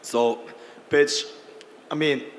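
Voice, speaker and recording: A hoarse man losing his voice, clearing his throat often as he speaks as a panelist. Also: fairly high pitch, fairly even intonation, extremely slow. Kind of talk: panel discussion. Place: conference hall. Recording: reverberant hall with faint noise.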